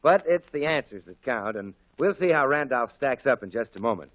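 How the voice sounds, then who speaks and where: A man's voice: mid-range voice, expressive, normal speed, a bit bright. An American man announcing on 1940s radio in an emphatic, folksy, salesmanlike scripted style. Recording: muffled old 1940s radio broadcast.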